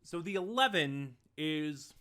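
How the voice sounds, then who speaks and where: A man's voice: on the high side, lively intonation, takes it slowly, sharp tone. A man giving a casual, unscripted review as host, talking in a rambling, hesitant way and often correcting himself. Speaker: online reviewer of audio dramas, host.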